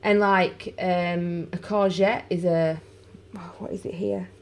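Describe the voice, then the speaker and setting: A woman's voice: lowish voice, steady tone, slow, slight background noise. A woman with a Yorkshire accent, a guest and vlogger chatting casually and rambling a little. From England. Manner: chatty, rambling, laughs easily. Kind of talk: casual chat.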